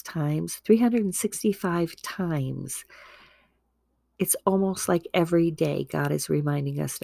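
A woman with a low, gravelly, mellow voice talks about faith like a casual podcast host, earnest and full of asides. She sounds a little stuffy from a starting cold. The recording is dry and close. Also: dry recording. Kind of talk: casual devotional talk.

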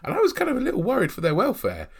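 A man's voice: very high-pitched, wildly swooping pitch, very fast, creaky, husky. A man in his thirties with a cold, chatting casually and rambling as a podcast co-host. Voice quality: chatty, laughs often, rambling. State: has a cold, snuffly. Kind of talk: casual film podcast chat.

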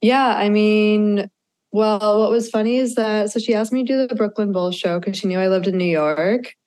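A woman's voice: medium pitch, moderate pace, clean tone. A woman guest DJ chatting in an interview, gushing and loose, full of "um" and "like" fillers. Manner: warm, gushing, many "um" and "like" fillers. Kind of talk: casual interview chat.

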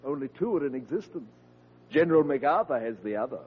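An actor playing a pompous, sponging old veteran uncle, speaking in a grandiloquent, unruffled radio sitcom manner. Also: male voice, medium pitch, animated, quick pace, husky. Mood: smooth, unruffled. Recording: muffled, hissy vintage radio recording.

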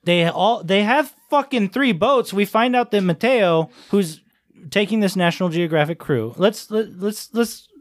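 Male podcast co-host, slightly high voice, close dry sound, puzzled and exasperated, swears casually. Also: a little slow, dry recording. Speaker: podcast co-host discussing a movie. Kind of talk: casual, profane banter.

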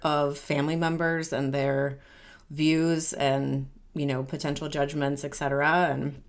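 A woman co-hosting a podcast, talking in a relaxed way with many fillers. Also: low-pitched, measured pace. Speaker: podcast co-host. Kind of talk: casual podcast talk.

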